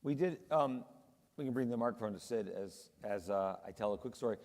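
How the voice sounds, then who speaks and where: Male rabbi, conversational storyteller, mid pitch, long hall reverb. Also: a little fast, darkish tone. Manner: chatty storyteller, many fillers. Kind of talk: casual panel conversation. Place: large synagogue hall.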